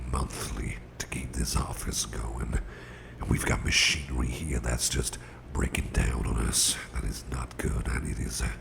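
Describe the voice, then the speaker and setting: A man playing a gruff but tender, protective boss in an ASMR roleplay, murmuring soothingly in a gravelly, breathy half-whisper. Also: very deep voice, full character voice. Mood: grumbling. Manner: soothing, unhurried, folksy, murmured. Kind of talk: ASMR roleplay, intimate personal attention.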